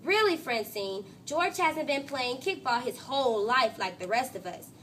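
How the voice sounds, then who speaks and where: Earnest young schoolboy, read by a woman, bright-toned. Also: high-pitched, animated, moderate pace, recorded from a distance, a little hiss or hum. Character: earnest, fair-minded, hesitant young schoolboy.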